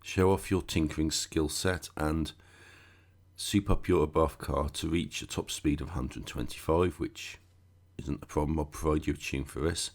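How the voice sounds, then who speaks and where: A man hosting a gaming channel, croaky and stuffed up with a cold, chatting casually through a game walkthrough with sarcastic asides. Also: extremely low pitch, fairly even intonation, normal speed.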